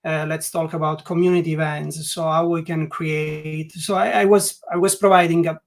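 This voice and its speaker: A man's voice: on the high side, flat delivery, normal speed, vocal fry. A man from Italy with an Italian accent, speaking English as a second language as a guest in a webinar interview, often restarting his sentences and filling gaps with "like". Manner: hesitant, many restarts and "like" fillers. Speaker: guest learning experience designer.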